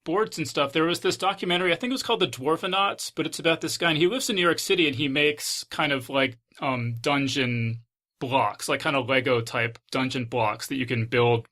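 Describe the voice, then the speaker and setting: A man's voice: on the high side, a little fast, pushed and bright. A man hosting a podcast, chatting casually with his guests in a conversational interview style. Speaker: podcast host.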